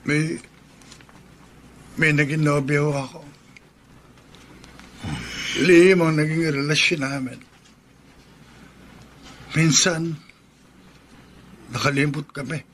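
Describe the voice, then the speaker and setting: A man playing a guilt-ridden young adult son in a radio drama, speaking slowly and heavily. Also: fairly high pitch, fairly even intonation, fairly bright tone, slight background noise. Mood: withdrawn. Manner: slow, heavy, remorseful.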